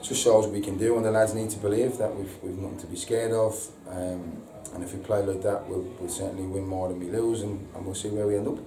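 Low flat-voiced football manager. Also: male voice. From England. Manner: halting, repeats words, frequent ums. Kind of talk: post-match interview. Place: indoor press room before a sponsor board. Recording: echoey room, distant mic, audible hiss.

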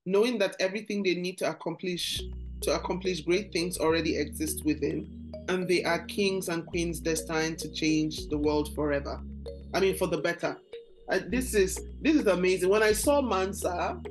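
Nigerian woman, podcast host, lower voice, enthusiastic, video-call sound with faint music. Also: moderate pace, poor audio. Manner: enthusiastic, repeats words while searching for phrasing. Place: room with bookshelves and red sofa.

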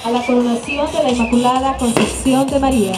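A woman's voice: sits high, steady tone, fairly quick. A woman announcing over a PA at a town festival. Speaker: event announcer. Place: outdoor evening town plaza. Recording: echoey PA with music behind. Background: loud music.

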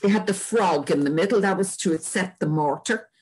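An older woman with an Irish accent and a husky voice, giving an informal local history talk over a video call from home. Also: lowish voice, a little fast. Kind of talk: informal illustrated history lecture. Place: indoors at home. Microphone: webcam video call.

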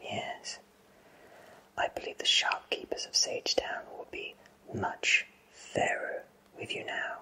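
A man in his thirties voicing a kindly fantasy potion shopkeeper in a soothing, breathy ASMR whisper. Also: sharp tone, rough recording. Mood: soothing, hypnotic. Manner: slow, soothing, reassuring. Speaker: ASMR roleplayer.

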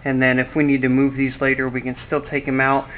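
A man giving a casual walkthrough of his cargo trailer setup. He explains things plainly and relaxed, with fillers and restarts. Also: mid-range voice, quick pace. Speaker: DIY channel host. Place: inside an empty cargo trailer. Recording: tinny lo-fi audio with faint background noise.

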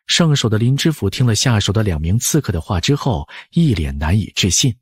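A man's voice: fairly low pitch, very animated, fairly quick, slightly rough, no echo, studio quality. A man narrating a novel as an audiobook, scripted and dramatic.

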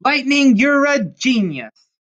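A woman's voice: high-pitched, big pitch swings, slow, fairly bright tone, highs cut off, pitched above the performer's own voice, full character voice. A woman voicing a plucky, folksy cowboy in a children's storybook read-aloud. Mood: inspired. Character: folksy, plucky cowboy man thinking problems through.

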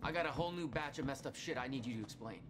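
A man's voice: mid-range voice, racing, background noise, close to an everyday voice. A man playing a wry, blunt action hero and younger brother in a naturalistic video game performance. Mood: sarcastic. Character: gruff, sarcastic younger brother, action hero under fire. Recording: loud game music and effects behind.

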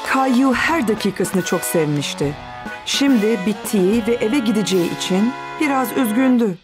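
A woman's voice: on the high side, expressive, moderate pace, natural voice. A woman reading scripted narration for a children's cartoon. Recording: music under the voice.

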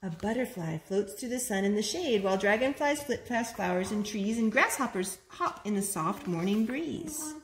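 A woman in her thirties reading a picture book aloud to small children in an expressive, singsong way at home. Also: mid-range voice, moderate pace, hardly any pauses. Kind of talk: storytime read-aloud. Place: living room at home, on a sofa. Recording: roomy, noisy home video recording.

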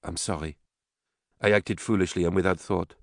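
A man reads the part of a stern, controlled mother in a novel. The voice is low and close, with the measured restraint of a judge. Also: dry recording, lightly acted. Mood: quietly sorry. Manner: cold, hard, controlled.

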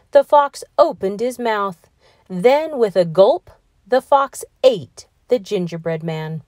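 A woman narrating a children's story as an expressive read-aloud host. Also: on the low side, no room sound, studio quality. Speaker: storybook reader, channel host.

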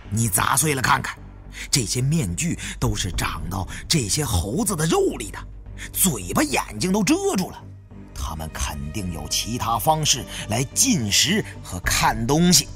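A man's voice: mid-range voice, a bit bright, pitched below the performer's own voice. A man voicing an earnest, inquisitive young male adventurer in an audiobook.

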